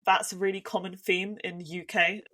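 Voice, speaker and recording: A woman with a Devon accent co-hosts a relaxed podcast chat. Her voice sits a little low, and she talks her ideas through slowly, hedging and often restarting her sentences. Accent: Devon English. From Devon, England. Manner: thoughtful, hedging with many restarts. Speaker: podcast co-host. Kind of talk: casual conversational podcast.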